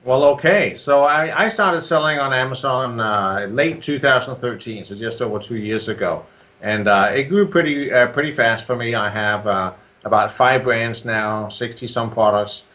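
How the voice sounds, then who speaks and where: A man with a Danish accent walks through how his software works in a casual interview. His explanations are steady and methodical, dotted with ums and small restarts, and his voice sits at a middle pitch. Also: normal speed. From Denmark. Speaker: software creator, guest.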